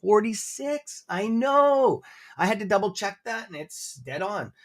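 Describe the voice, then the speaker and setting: Rambling Canadian man, slightly high voice. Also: very animated, a little slow. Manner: rambling, filler-heavy, friendly. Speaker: guest presenter on a stock livestream. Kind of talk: casual livestream chat.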